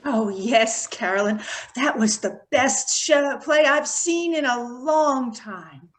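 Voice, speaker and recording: A woman playing a kindly, sensible aunt with a gentle manner in an old-time radio drama. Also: high voice, expressive, takes it slowly. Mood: kindly, tired.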